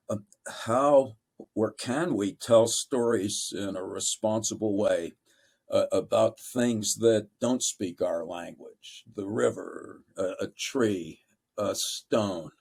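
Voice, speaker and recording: A man moderating a panel, posing questions in a measured way. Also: fairly low pitch, vocal fry, a little mellow.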